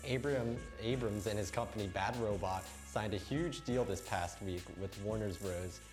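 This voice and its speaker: A man's voice: lowish voice, moderate pace, over music. Young man reading news stiffly. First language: not English. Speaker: news co-host. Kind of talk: scripted news read.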